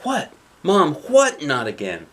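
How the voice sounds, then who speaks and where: A man's voice: on the high side, huge pitch swings, slow, slight background noise, voice pushed up, exaggerated voice. A man voicing an alarmed, questioning young girl while reading a picture book aloud.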